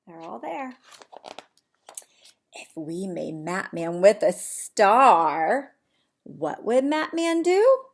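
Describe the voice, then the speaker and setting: A woman preschool teacher reading a picture book aloud to young children in an expressive, sing-song way, often asking them questions. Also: medium pitch, very slow, gravelly, pauses often.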